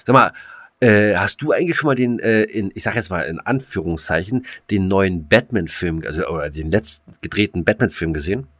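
A man's voice: low-pitched, animated, brisk, a bit bright. A man co-hosting a podcast in casual chat, rambling with many fillers and restarts. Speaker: podcast co-host.

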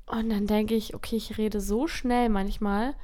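A woman's voice: medium pitch, flat delivery, measured pace, mellow. A woman co-hosting a casual podcast, talking in an informal, anecdotal way into a microphone at a table. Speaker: podcast co-host. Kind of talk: casual chat. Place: seated at a table.

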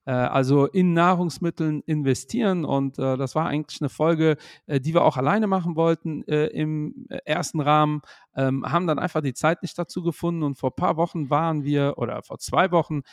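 A man's voice: on the high side, brisk, dry recording, clean studio sound. A man hosting an investing podcast from his home office, with upbeat greetings and a lot of fillers. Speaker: host. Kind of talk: podcast chat.

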